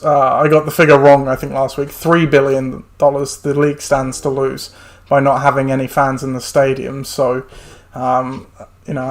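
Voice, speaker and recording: A man hosts a relaxed sports podcast, talking in a chatty, meandering way with plenty of ums. His voice sits at an ordinary middle pitch and sounds slightly worn, as he is losing it. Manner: chatty, rambling with many fillers. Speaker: podcast host. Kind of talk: casual sports podcast chat.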